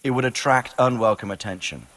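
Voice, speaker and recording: An actor playing an authoritative, cautious older lord in a video game, commanding yet fatherly, with formal and deliberate phrasing. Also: mid-range voice, a bit bright, close to an everyday voice. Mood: commanding, urging. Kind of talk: dramatic video game dialogue.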